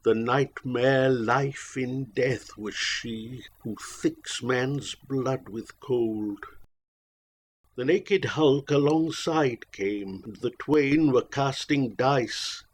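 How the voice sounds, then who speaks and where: A man's voice: medium pitch, extremely slow, a little hiss or hum. A man reciting narrative poetry in a theatrical, solemn way, drawing out his phrases. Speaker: poetry reader.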